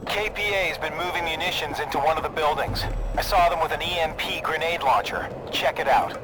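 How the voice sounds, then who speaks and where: An actor playing an informal, cocky military pilot in a video game. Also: male voice, a little high, fairly quick, very noisy, lightly acted. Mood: alert, helpful. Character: cocky, loyal male American military pilot. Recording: game audio with loud music behind.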